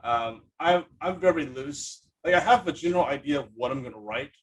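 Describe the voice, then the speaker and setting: A man hosting a casual podcast chat, talking in a rambling, halting but enthusiastic way. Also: on the high side, lively intonation, very noisy. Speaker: podcast host, writer.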